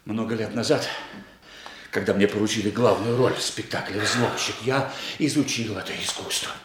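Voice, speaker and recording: An actor playing a cunning, jealous, embittered murderer in a theatrical Russian radio play, speaking emphatically with menace. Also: male voice, fairly low pitch, moderate pace, background noise, close to an everyday voice. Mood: menacing, boastful. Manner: emphatic, menacing, swinging between outbursts and hushed bitterness. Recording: roomy, echoing studio radio-play recording.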